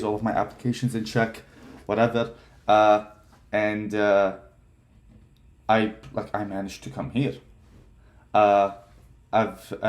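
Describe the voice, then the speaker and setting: Young man in his twenties, lowish voice, halting personal vlog talk full of ums. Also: a little mellow. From Palestine. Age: 20s. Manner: hesitant, many fillers and restarts. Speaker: vlogger. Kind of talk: casual personal storytelling.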